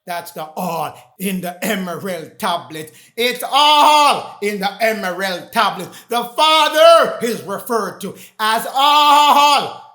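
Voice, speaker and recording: A male preacher with a very high, bright voice delivers a fervent sermon in a roomy, echoing space. He is confrontational and declaratory, hammering phrases through repetition and often pushing into a shout. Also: animated, slow. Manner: confrontational, addressing listeners directly. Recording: echoey, roomy sound.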